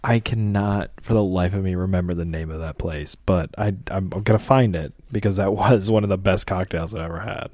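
Man around thirty, low voice, laid-back, agreeable, dry close sound. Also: a little fast, few pauses, darkish tone, dry recording. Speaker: podcast co-host. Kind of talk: casual chat over drinks.

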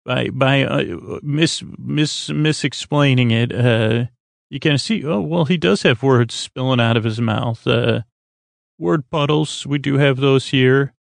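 Man, middle-aged, gravelly fry, dry close sound, halting bedtime rambler, drifting tangents, podcast host. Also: medium pitch, no echo. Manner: meandering, halting, full of tangents and self-interruptions. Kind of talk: rambling bedtime monologue to help listeners fall asleep.

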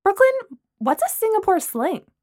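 A woman's voice: very high-pitched, very animated, a little slow, darkish tone, dry recording, clean studio sound, voice pushed up, exaggerated voice. Woman putting on a bubbly boy-crazy young woman. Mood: giddy. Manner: breezy, giddy, shameless.

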